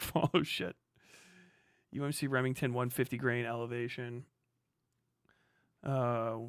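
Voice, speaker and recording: A man livestreaming casually, muttering figures as he reads and thinking aloud in halting bursts with plenty of swearing. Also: a little high, vocal fry, fairly bright tone, no echo, studio quality. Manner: swears freely. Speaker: live streamer.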